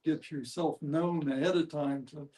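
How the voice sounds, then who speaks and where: A man speaking hesitantly in a casual group discussion, often halting mid-thought. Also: a little high, fairly even intonation, mellow. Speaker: discussion participant.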